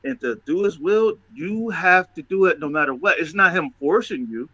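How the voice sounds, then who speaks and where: A man's voice: a little high, lively intonation, moderate pace, bright, forceful tone, no room sound, dull audio. A man talking casually and motivationally as a show host, emphatic and testifying, repeating phrases for stress.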